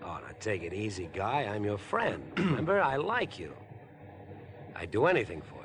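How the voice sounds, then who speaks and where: Casting: ingratiating, obsessive schemer, very lively intonation, vintage radio thriller style. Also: male voice, lowish voice, normal speed. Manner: insinuating, overfriendly, wheedling. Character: chatty, ingratiating, obsessive murder-plotting man on a train. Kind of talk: 1950s radio drama acting. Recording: vintage 1950s radio broadcast.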